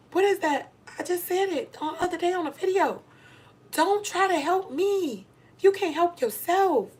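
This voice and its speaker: A woman's voice: very high voice, a little mellow. A middle-aged American woman giving a candid motivational monologue to viewers, speaking emphatically and repeating phrases for stress. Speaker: vlogger giving advice to women.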